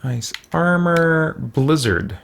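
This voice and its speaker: A man's voice: a little high, drawn-out words, gravelly, fairly bright tone, a little hiss or hum. A man giving casual game commentary, thinking aloud to himself in a musing, tentative way. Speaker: gamer hosting a let's play.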